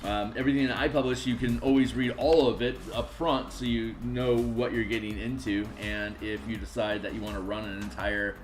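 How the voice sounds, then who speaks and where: A raspy, tired man chatting casually on a live stream while making game art, rambling and muttering to himself as he works. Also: medium pitch, little pitch movement, a little fast, hardly any pauses, soft background music. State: tired, just woke up.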